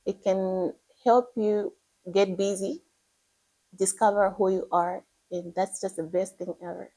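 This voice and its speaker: Zambian woman, 19, pageant contestant, mellow voice, eager and encouraging. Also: mid-range voice, level tone, measured pace, rough recording. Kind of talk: prepared self-introduction to camera.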